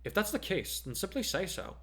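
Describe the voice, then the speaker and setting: A man's voice: high voice, sing-song, brisk, pushed and bright, pitched above the performer's own voice, exaggerated voice. A man voicing an insistent, direct teenage girl hero student in a dramatic fan fiction reading. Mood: firm. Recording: roomy, echoing home recording.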